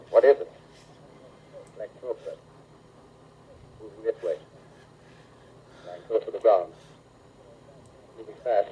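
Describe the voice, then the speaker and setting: A man's voice: mid-range voice, husky. A male actor playing a terse army gunner in a radio drama, with clipped military call-outs. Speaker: artillery crew. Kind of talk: 1930s radio drama acting. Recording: muffled, scratchy 1930s radio broadcast.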